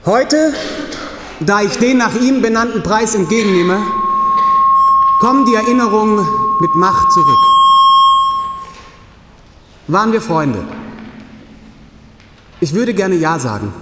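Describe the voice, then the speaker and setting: A writer delivering a grave, formal speech in a radio play. His voice is high for a man and moves slowly and haltingly, with strong echo from the hall around it. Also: a bit bright. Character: solemn male writer giving an acceptance speech. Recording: echoey hall with faint noise.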